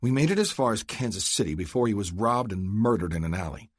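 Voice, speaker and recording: A man's voice: mid-range voice, very animated, moderate pace, a little mellow, slightly rough, no echo, studio quality, voice pushed up. A man voicing a cool, charming young upper-class woman with a Philadelphia accent and a poised manner. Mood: hardened.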